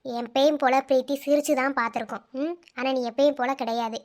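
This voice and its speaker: A woman voicing a caring female friend, speaking softly and coaxing. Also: high-pitched, fairly even intonation, quick pace, voice pushed down, natural voice. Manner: soft, coaxing.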